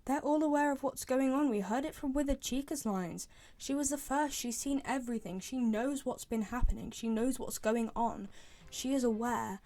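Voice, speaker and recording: A woman's voice: high-pitched, normal speed, dark tone, no room sound. A woman chatting casually and giving opinions as a fan commentator, rambling and repeating herself for emphasis. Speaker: fan commentator ranking game voice lines.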